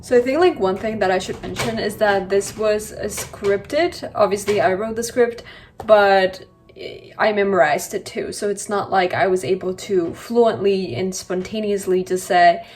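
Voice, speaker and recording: A woman's voice: medium pitch, a little slow, darkish tone, slight background noise. A young woman in her twenties from Colombia, Spanish-native with a Colombian accent, chatting casually to camera as a language vlogger, with lots of likes and you-knows. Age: 20s.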